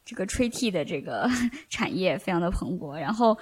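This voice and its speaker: A woman hosting a casual podcast conversation, explaining things in a measured, hedging way. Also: lowish voice, expressive, slightly rough, dry recording. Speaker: podcast host.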